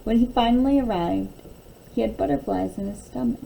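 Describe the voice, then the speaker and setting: Very mellow woman reading a children's storybook. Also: a little high, vocal fry, a little hiss or hum, low-quality recording. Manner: animated, deliberate storytelling. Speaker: teacher reading a picture book aloud. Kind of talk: expressive children's storybook read-aloud.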